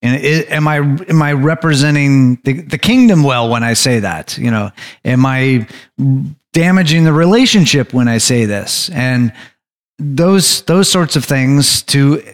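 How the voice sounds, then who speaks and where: Halting man leading a bible study. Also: mid-range voice, expressive. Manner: halting, staccato speech with stops. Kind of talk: teaching a group discussion.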